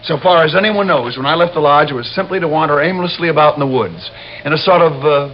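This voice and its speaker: A man's voice: fairly high pitch, quick pace, natural voice. An actor playing a smug, menacing gunman who mocks and orders people around in a vintage radio drama. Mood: smug, mocking. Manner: sneering, mocking, commanding. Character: smug, menacing gunman villain, scornful schemer. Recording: muffled, hissy old-time radio recording.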